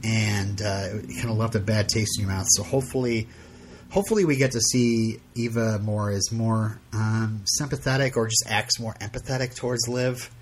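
A man hosting a podcast in casual chat, rambling and halting with many fillers and frequent laughs. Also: lowish voice, slight background noise. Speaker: podcast host.

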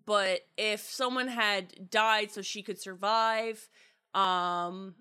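Bright-voiced woman with a Pittsburgh lilt. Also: mid-range voice, steady tone, slow, clean tone. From US Northeast-Midwest border. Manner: chatty, self-deprecating, many fillers. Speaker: podcast cast member. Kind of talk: casual chat. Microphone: home podcast mic.

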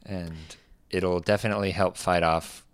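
Deep-voiced male co-host, calm and measured, dry studio sound. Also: clean tone, no echo. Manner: measured, slow when explaining, easygoing. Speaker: podcast co-host and teacher. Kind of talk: casual podcast chat.

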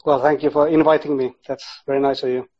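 A man who grew up in Finland answers interview questions as a podcast guest in a casual, halting way. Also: medium pitch, little pitch movement. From born in Sweden, grew up in Finland, half Icelandic. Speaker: podcast guest, sports psychology researcher. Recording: dull, lo-fi audio.

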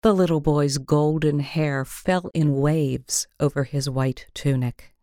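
A woman reads a storybook tale in a low register. Her delivery is slow and gentle, with careful measured phrasing. The sound is dry, with very little room around it. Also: fairly even intonation, dry recording. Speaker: story reader. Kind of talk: scripted storybook narration.

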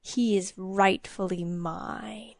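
Storybook imp demon, sly and hissing, drawn-out and quiet, read by a woman. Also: fairly even intonation, no echo, studio quality. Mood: menacing. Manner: slow, low and quiet, drawn-out menace.

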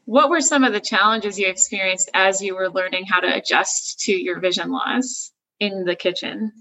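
A woman's voice: mid-range voice, normal speed, pushed and bright, poor audio. A woman hosting a podcast, asking questions in a conversational interview style. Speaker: podcast host interviewing a guest.

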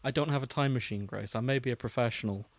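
A young man co-hosting a podcast, reading fan fiction aloud with deadpan, mocking asides. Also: mid-range voice, fast talker. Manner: wry, deadpan, dips into mocking quotes. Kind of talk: casual chat and comic dramatic reading.

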